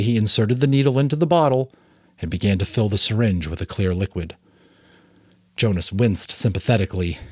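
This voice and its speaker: A man narrating a novel aloud as a dramatic, expressive reading. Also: lowish voice, husky, no room sound, clean studio sound. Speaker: audiobook narrator and voice actor. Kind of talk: dramatic story reading with asides.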